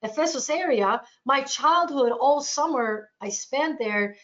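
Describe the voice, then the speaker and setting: A woman's voice: sits high, animated, measured pace, a bit bright, dull audio. A woman from Turkey with a Turkish accent, giving an informal, enthusiastic travel sales presentation over a webinar.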